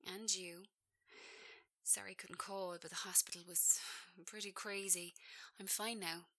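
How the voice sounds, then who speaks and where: A gentle sister on a video call, played by a woman, talks softly and casually. She is reassuring and understated, in a slow audio drama delivery. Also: mid-range voice, fairly even intonation. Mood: quiet, apologetic.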